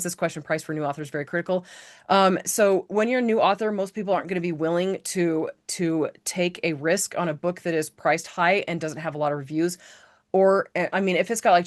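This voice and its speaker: A woman hosting a casual live online training, chatty and rambling, correcting herself and filling pauses with "um". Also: fairly low pitch. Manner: chatty, rambling, self-correcting with many fillers. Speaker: host of a live author-business training.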